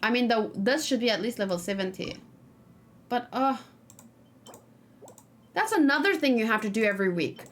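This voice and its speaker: A woman's voice: fairly high pitch, lively intonation, normal speed, long pauses. Woman gamer chatting casually over background noise. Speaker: gaming streamer, host. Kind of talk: casual live let's play chat, thinking aloud. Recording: noisy, with keyboard typing and clicking. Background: background noise, computer keyboard and mouse clicks.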